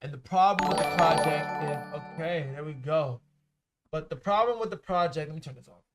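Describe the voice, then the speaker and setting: A man co-hosting a laid-back podcast, talking in a blunt, emphatic way full of profane street slang. His voice sits a touch higher than most men's. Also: moderate pace, faint music behind. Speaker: podcast co-host. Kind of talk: casual chat about rap and culture.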